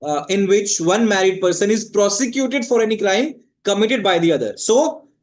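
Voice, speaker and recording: A man's voice: high voice, lively intonation, normal speed. A man with an Indian accent lecturing on law in a didactic, explanatory way, repeating phrases for emphasis.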